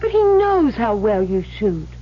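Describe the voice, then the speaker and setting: An actress playing a devoted, encouraging young bride-to-be in a radio drama, ardent, coaxing and theatrical. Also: female voice, fairly high pitch, big pitch swings, measured pace. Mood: ardent, urging. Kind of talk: old-time radio drama acting. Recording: muffled, lightly noisy old radio broadcast.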